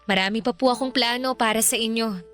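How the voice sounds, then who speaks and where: A woman's voice: on the high side, fast talker, a bit bright, faint music behind, close to an everyday voice. A young woman playing a devoted, hardworking adopted daughter in a radio drama, polite and eager. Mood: earnest devoted. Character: hardworking grateful adult daughter, bakery owner.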